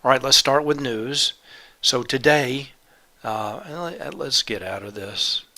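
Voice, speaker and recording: Bright-voiced man presenting, slightly congested. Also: medium pitch, takes it slowly. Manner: methodical, lists steps and items plainly. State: congested with a cold, sore throat. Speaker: trading presenter. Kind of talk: live educational webinar presentation.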